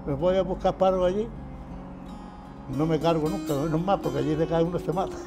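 A man's voice: on the high side, fairly even intonation, fast. Older man, plain-spoken, over music. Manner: plain-spoken, repeats phrases, chuckles often. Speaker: former patient. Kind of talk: casual interview answers. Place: shaded outdoor patio. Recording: music bed underneath. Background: background music.

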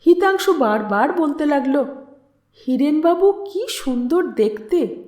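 A woman reading a literary story aloud as an audiobook narrator, in an expressive, dramatic way. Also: sits high, slow. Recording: roomy echo on the voice.

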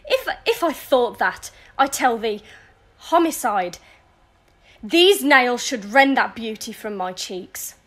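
A woman's voice: fairly high pitch, animated, takes it slowly, fairly bright tone, full character voice. A young woman playing a bitter, vengeful noble widow in a verse audio drama, speaking with scorn. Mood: bitter cursing. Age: young adult. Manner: scornful, cursing. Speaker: student actor.

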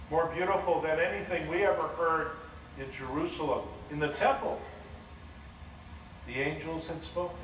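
Awed shepherd, slow and wondering, played by a man, in an echoing church, heard from afar. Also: high-pitched, slight background noise. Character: humble shepherd recounting the angels' visit. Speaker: pastor. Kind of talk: first-person dramatic sermon monologue. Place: large church sanctuary. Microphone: far video camera. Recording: roomy echoing church, distant camera.

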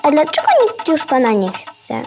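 Bold little girl, seven, for kids' audio play, female actor: inquisitive, childlike, high lively voice. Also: normal speed, over music. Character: curious, bold seven-year-old girl. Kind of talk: children's audio drama acting.

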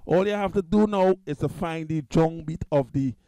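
A man with a Trinidadian accent, talking loosely through a music software tutorial at his computer, repeating himself and restarting often. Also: fairly high pitch, normal speed, clean tone, no room sound, studio quality. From Trinidad and Tobago. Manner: loose, repetitive, restarts a lot. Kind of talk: casual screen-recorded software tutorial.

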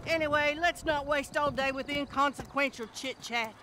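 A woman's voice: high voice, background noise, lightly acted. An actress playing a folksy, sunny, flirty bail bond agent woman in a video game, talking wordily and without hurry. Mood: cheerful, flirty. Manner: unhurried, sunny, wordy. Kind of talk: video game cutscene acting.

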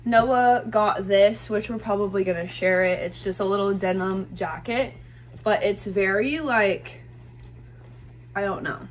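A woman's voice: mid-range voice, measured pace. A young woman chats straight to a close camera from her bedroom, bubbly and gushing, dropping "like" every few words. A faint hum sits under her voice. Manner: chatty, gushing, many "like" fillers. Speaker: fashion vlogger. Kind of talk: casual thrift haul talk to camera. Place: small bedroom with bed, indoors.

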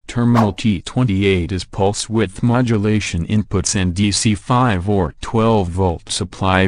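Low-voiced man reading technical specs. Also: background noise. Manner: flat list-like delivery of specs. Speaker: channel narrator. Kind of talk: scripted technical narration.

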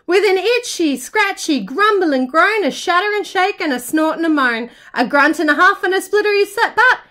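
A woman reading a rhyming children's storybook aloud in an expressive, dramatic, sing-song way, like a teacher. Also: very high voice, normal speed. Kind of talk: children's storybook narration in rhyme.

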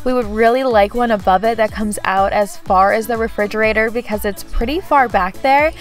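A woman's voice: fairly high pitch, brisk, soft background music. A woman vlogger chatting casually in a voice-over about her kitchen, with frequent "like" fillers. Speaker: home vlogger.

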